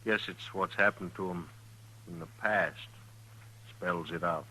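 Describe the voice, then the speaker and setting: A stubborn, troubled frontier loner in a radio western. The voice is low and very sharp, with an American accent. He talks in terse, folksy sayings and gives little away. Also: a little slow, full character voice, rough recording. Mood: fatalistic, stubborn. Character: gaunt, guarded, fatalistic frontier station keeper, troubled loner. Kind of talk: old-time radio western drama.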